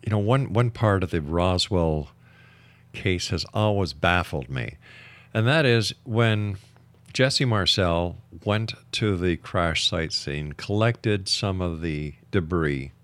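Slow, animated male interviewer with skeptical edge. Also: low voice, dry recording. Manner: skeptical, challenging. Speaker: interview host. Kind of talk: probing interview questioning.

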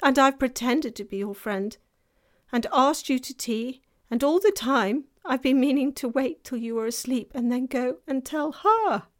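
Lady doing a sorry little male fawn from a storybook, fretful and guilty, high voice, slow and dramatic. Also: lively intonation. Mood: distressed. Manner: anguished, self-reproaching, melodramatic. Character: guilt-ridden male fawn, gentle, tearful, fearful. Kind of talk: dramatized children's storybook reading.

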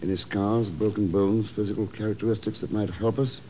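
An actor playing a cool, terse government agent in a 1950s radio drama. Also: male voice, lowish voice, fairly even intonation, fairly quick, mellow, natural voice. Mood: composed, businesslike. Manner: terse, composed, businesslike. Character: cool, clipped male government agent, investigating calmly. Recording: noisy old 1950s radio recording.

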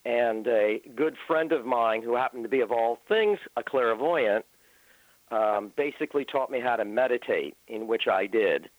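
A man's voice: medium pitch, animated, normal speed, no room sound. 50s guy on a phone line, earnest, rambles, says um a lot, tinny dry sound. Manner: earnest, rambling with many ums. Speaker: paranormal researcher guest. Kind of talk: interview answers.

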